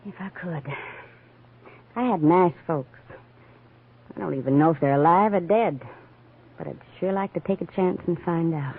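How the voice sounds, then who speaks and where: An actress playing a weary, anxious frontier woman with plain folksy speech and a husky voice in a 1950s radio western. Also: fairly low pitch, moderate pace, pauses often. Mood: wistful, weary. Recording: narrow vintage 1950s radio recording.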